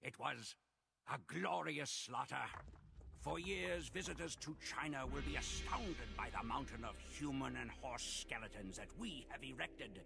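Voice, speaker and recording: A man playing a proud, ruthless Mongol warrior who narrates conquests in a solemn, grandiose and measured way for a game cutscene. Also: mid-range voice, creaky, sharp tone, heavily acted. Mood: triumphant, grim. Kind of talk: dramatic scripted storytelling narration. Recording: music behind the voice.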